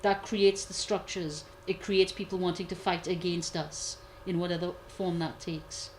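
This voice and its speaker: A woman commentator giving a measured analysis, repeating key points for emphasis. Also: fairly low pitch, little pitch movement, a little hiss or hum. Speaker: guest commentator. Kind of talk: analytical discussion.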